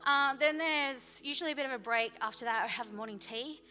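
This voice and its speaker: Bright, high-voiced woman children's leader. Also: a little fast, slight background noise. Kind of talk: explaining a church program. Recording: reverberant church hall with music behind.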